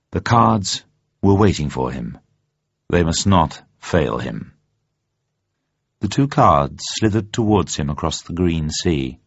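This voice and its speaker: A man reading a story as a narrator in a measured, suspenseful, dramatic way. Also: low-pitched, husky. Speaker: audiobook narrator. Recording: dull, muffled, roomy radio recording.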